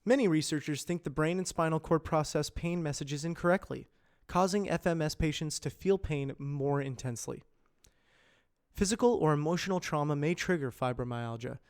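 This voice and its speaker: Male narrator, slightly high voice, scripted educational read, measured delivery, careful enunciation. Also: smooth. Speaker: amateur voice actor practising reads.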